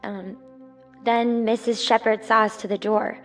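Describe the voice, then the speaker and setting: A woman's voice: fairly high pitch, little pitch movement, a little slow, faint music behind, natural voice. A woman playing a friendly young 1950s housewife in a radio drama. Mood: hesitant, regretful.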